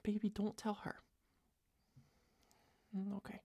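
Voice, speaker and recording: A young woman doing a soft, close-mic whispered girlfriend roleplay, rambling and doting, a little tipsy. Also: medium pitch, monotone, slow, vocal fry, husky, dry recording. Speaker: ASMR roleplayer.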